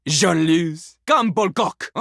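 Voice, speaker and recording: A man's voice: sits high, very animated, extremely slow, creaky, bright, forceful tone, no echo, clean studio sound, full character voice. A man voicing a boastful, theatrical French-accented rapping toilet in a video game, referring to himself in the third person. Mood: smug, magnanimous, then taunting. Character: boastful French rapping toilet, cocky yet easily embarrassed. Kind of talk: video game character acting with freestyle rap.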